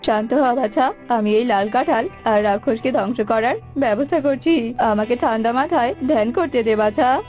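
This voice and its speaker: Woman voicing a high-pitched commanding sage. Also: normal speed, a little mellow, faint music behind, lightly acted. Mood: calm reassuring. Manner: commanding, addressing others as child. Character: calm, authoritative male tantric sage. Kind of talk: children's fairy-tale cartoon voice.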